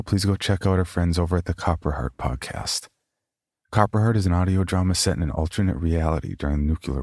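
A man hosting a horror podcast, reading its notices and then narrating a scary story in a deliberate, ominous way. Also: low voice, a little mellow, no room sound, clean studio sound.

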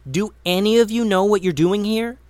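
A man voicing a bold young woman archer who speaks in a sharp, raised, questioning way. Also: high voice, normal speed, dry recording, voice pushed up, natural voice. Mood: demanding.

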